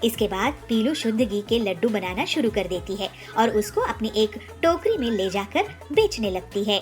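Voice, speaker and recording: Lively high-voiced woman storyteller. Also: moderate pace. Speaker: storyteller narrator. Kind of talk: scripted children's story narration. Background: music.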